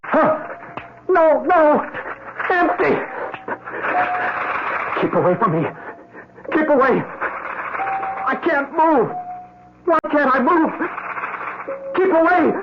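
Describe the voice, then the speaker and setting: A man frozen in terror in a vintage radio drama. His voice is high and slow, with wild swings. He pleads desperately through a muffled recording. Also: sing-song, over music, heavily acted. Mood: panicked terror. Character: terrified man, frozen, fending off an attacker. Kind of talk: old-time radio drama acting. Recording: very noisy, muffled off-air radio tape.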